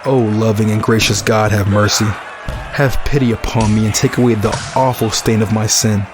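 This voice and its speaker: A man's voice: fairly low pitch, fairly even intonation, moderate pace, over music, natural voice. A man acting in an audio drama as an earnest, solemn, guilt-ridden man of faith. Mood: pleading, anguished. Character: Jordan, guilt-ridden devout man working at a deadly fight ring. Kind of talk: audio drama acting with inner monologue and prayer.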